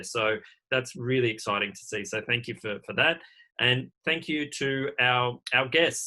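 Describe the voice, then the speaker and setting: A man with a mid-pitched, very bright and forceful voice hosts an online event. He talks informally, in the style of someone handing over and signing off. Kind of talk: informal event hosting and sign-off.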